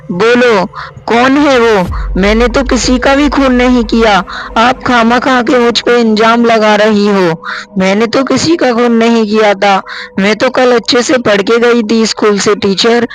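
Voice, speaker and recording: A man's voice: very high voice, fairly even intonation, measured pace, voice pushed up, poor audio. A man voicing a hot-tempered, defiant schoolgirl in a spoken story, with a brash, quarrelsome manner. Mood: pleading. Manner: brash, quarrelsome, pleading when accused.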